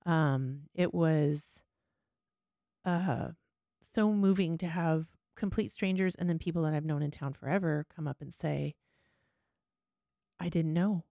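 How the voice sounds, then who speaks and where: A woman's voice: sits low, measured pace, creaky, long pauses, mellow, no echo, studio quality. A woman speaking candidly and earnestly in a conversational podcast interview, with emphatic personal storytelling as host.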